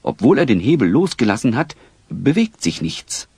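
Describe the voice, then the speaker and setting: A man's voice: mid-range voice, big pitch swings, normal speed, darkish tone, slightly rough, no echo. A man narrating an audio play as a scripted, dramatic storyteller. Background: music.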